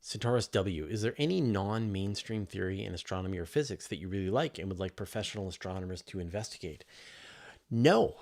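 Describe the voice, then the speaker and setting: A man's voice: low-pitched, fairly quick, a little mellow. A man hosting a live science question show as a journalist, talking casually and emphatically and often rephrasing mid-thought. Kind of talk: casual livestream Q&A, reading questions and answering off the cuff.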